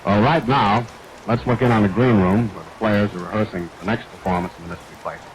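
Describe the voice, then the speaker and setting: Guy doing a low voiced polite droll 1940s radio mystery host, old recording w faint rain noise. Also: steady tone, quick pace, close to an everyday voice, poor audio. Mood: droll, courteous. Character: genteel, droll male host of a mystery playhouse. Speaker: host of a mystery anthology show. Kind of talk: vintage radio show hosting.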